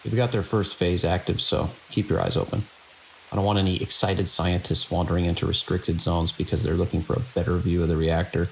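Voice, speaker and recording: A man playing a seasoned, wry security chief in an audio drama, with a measured, world-weary, procedural delivery and a gravelly voice. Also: sits low, level tone, poor audio. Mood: firm. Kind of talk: audio drama dialogue and log monologue. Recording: faint hiss and rain-like noise.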